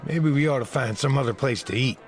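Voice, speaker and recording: An actor playing a calm, level-headed Texan gunslinger, dry and understated, in a dramatized Western audiobook. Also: male voice, mid-range voice, fairly quick, slight background noise, close to an everyday voice. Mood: easygoing, practical.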